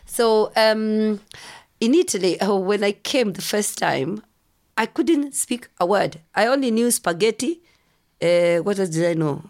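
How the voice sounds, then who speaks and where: A middle-aged woman from Kenya, telling lively stories as a podcast guest and acting out the voices of people she quotes. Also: mid-range voice, a little slow. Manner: animated storyteller, acts out quoted voices. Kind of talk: casual storytelling interview.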